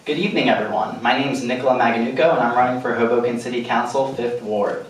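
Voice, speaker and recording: A man from Italy running for city council, giving a smooth, prepared self-introduction. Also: medium pitch, fairly even intonation, fast. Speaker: political candidate. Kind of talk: opening statement at a debate. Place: theater stage before a live audience. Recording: echoey theater from far off.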